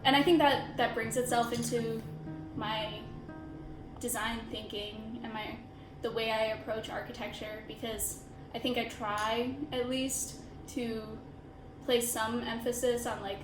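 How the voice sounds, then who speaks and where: A woman's voice: fairly high pitch, steady tone, a little slow, reverberant, over music, poor audio. A woman in her twenties, an architecture student, speaking reflectively in a casual interview with many filler words. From India, raised in Chicago suburbs. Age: 20s.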